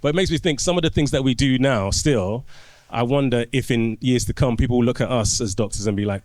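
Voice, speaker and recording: A man, a doctor guest on a podcast, explaining his work through anecdotes in relaxed conversation and often restarting his phrases. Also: medium pitch, a little fast, no echo.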